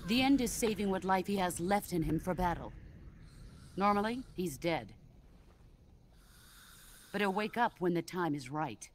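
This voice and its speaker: An actress playing a solemn, composed veteran soldier in a dramatic video game. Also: female voice, fairly low pitch, animated, unhurried, long pauses, slight background noise. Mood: grave, assured.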